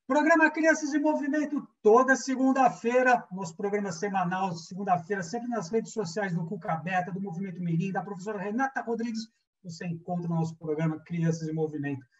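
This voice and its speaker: A man's voice: sits high, fast, a little mellow. A Brazilian man hosting an online interview programme in an upbeat, informal, friendly and enthusiastic way. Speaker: host of a weekly online programme. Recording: distant, dull lo-fi sound.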